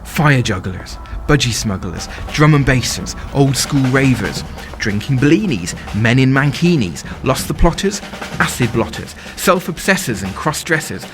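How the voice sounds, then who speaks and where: Lively, lovestruck young man played by an actor, over music. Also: medium pitch, measured pace, hardly any pauses, lightly acted. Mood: rhythmic, euphoric. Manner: poetic, rhythmic, confessional delivery. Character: lovestruck young man at a festival, anxious and excitable. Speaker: solo performer. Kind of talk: dramatic first-person storytelling monologue. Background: music and sound design behind the voice.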